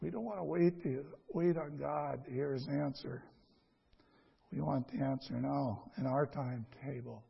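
A man preaching a conversational sermon in a folksy, halting way, repeating phrases and asking rhetorical questions. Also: medium pitch, creaky, slightly rough, muffled recording. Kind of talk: conversational sermon with scripture reading.

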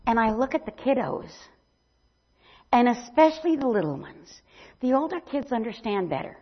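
A woman's voice: a little high, big pitch swings, normal speed. An older woman giving a chatty mission presentation at church, rambling with many ums. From not from Brazil. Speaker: missionary speaker. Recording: dull lo-fi sound.

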